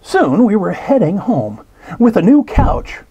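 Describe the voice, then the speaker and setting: A man reading a children's picture book aloud, slow and expressive, pausing to point out details in the pictures. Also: high-pitched, breathy edge, lo-fi sound. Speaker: storybook reader. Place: indoors at a table.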